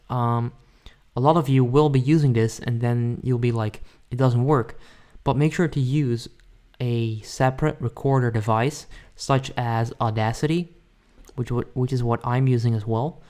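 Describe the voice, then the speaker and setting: A man narrating a casual software tutorial, talking informally and directly to viewers as he gives instructions. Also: medium pitch, moderate pace. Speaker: tutorial host.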